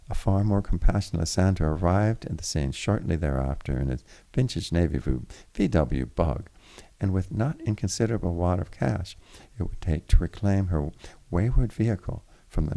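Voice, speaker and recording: A man reading literary fiction aloud in a formal, deliberate way, with the occasional stumble. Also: deep voice, gravelly, mellow. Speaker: reader of a story chapter. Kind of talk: literary audiobook narration.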